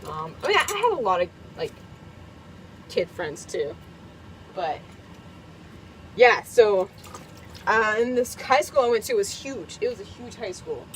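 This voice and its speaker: A woman's voice: medium pitch, big pitch swings, measured pace, long pauses, slight background noise, poor audio. A young woman from Kentucky, chatting casually while cooking in her camper. She is bubbly and giggly and fills her talk with "like" and "um". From Kentucky, USA. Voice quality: bubbly, giggly, lots of fillers. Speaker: vlogger. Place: camper kitchen.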